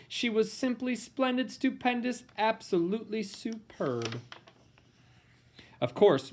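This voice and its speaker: A man reading a children's picture book aloud in a playful, theatrical way with dramatic emphasis and pauses. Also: high voice. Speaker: storybook reader.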